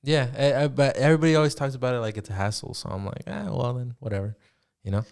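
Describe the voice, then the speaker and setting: A man's voice: mid-range voice, racing, darkish tone. A man in his early twenties chatting casually as a podcast co-host, with a hesitant, rambling, slangy way of talking. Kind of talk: casual conversational chat.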